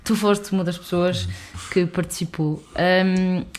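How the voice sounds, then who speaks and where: A woman hosting a podcast interview, setting up questions with context in a measured way. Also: fairly low pitch, fairly even intonation, darkish tone, slight background noise.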